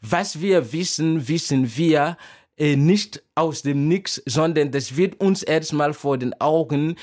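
A man's voice: fairly high pitch, slow pace, darkish tone. A young man from Burkina Faso, not a native speaker, answering interview questions in a reflective way with many hesitations. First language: a language of Burkina Faso. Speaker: interview guest, doctoral researcher and musician.

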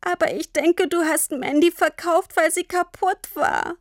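Woman as a high-voiced, emotional little girl. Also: little pitch movement, a little slow, vocal fry, darkish tone, dry recording, clean studio sound, full character voice. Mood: sobbing, hurt. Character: eager, sensitive young girl, easily moved to tears. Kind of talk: dramatic audiobook character voice.

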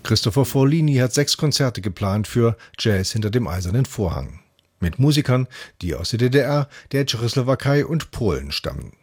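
A man's voice: medium pitch, lively intonation, normal speed, breathy edge. A man narrating a scripted radio report as the reporter, in composed full sentences.

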